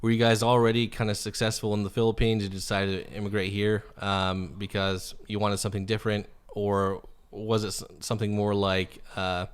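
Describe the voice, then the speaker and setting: A man's voice: fairly low pitch, little pitch movement, normal speed, few pauses, smooth. A man in his thirties hosting a podcast interview, chatty and loose, with many fillers and restarts. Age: 30s. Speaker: podcast host. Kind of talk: casual interview.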